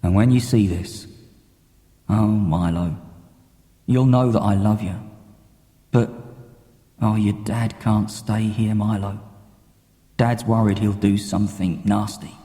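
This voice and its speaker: A man playing a gentle, sorrowful father speaking earnestly and lovingly in a dramatic audiobook. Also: fairly low pitch, fairly even intonation. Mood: loving, anguished. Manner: earnest, slow and tender. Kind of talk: dramatic audiobook character reading. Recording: cassette audiobook with long reverb.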